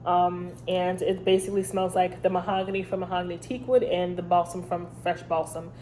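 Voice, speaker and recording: A woman's voice: on the low side, a little mellow, a little hiss or hum. A woman with a head cold and a stuffy, congested voice, chatting casually as host of a review vlog with lots of ums. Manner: chatty, rambling, many ums. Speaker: candle review channel host.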